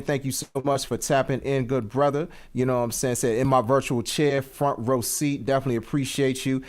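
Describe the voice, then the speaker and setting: Effusive man hosting a casual livestream. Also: medium pitch. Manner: effusive, repeats greetings and thanks. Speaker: show host.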